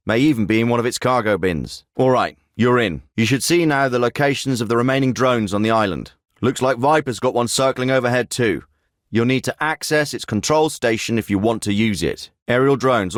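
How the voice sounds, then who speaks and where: An actor playing a gruff, sardonic British special forces team leader in a video game, giving terse, dry military commands. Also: male voice, mid-range voice, no room sound, natural voice. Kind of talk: military radio chatter and commands.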